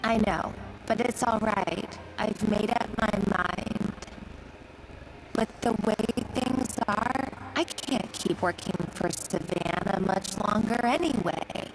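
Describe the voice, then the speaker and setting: A woman playing an earnest, gentle but resolved young chef in an anime game, speaking sincerely. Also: medium pitch, takes it slowly, background noise, lightly acted.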